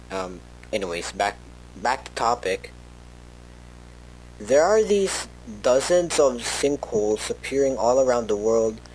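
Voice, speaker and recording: Slow, hesitant low-voiced man acting a worried researcher. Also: slight background noise, rough recording. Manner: hesitant, halting, rambling with self-corrections. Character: worried male researcher recording a rambling analog-horror tape log. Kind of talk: informal scripted monologue, analog horror audio log.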